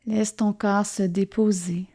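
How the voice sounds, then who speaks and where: A woman guides a yoga nidra relaxation, speaking softly and soothingly with gentle pauses. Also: medium pitch, steady tone. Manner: soft, soothing, gently pausing. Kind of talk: guided relaxation meditation narration.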